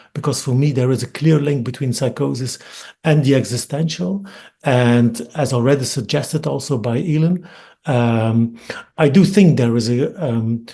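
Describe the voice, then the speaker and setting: A man from Belgium with a Flemish Dutch accent, giving a webinar lecture over a video call, thoughtful and hesitant with frequent pauses and repeated words. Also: medium pitch, gravelly, mellow. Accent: Dutch-speaking Belgian. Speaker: academic speaker. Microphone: computer mic on video call.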